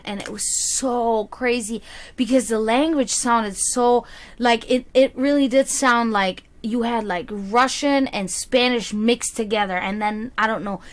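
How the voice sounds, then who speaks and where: A woman whose first language is German, chatting casually on a livestream as a psychic card reader, rambling and answering her viewers. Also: fairly high pitch. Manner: chatty, rambling, reads chat aloud.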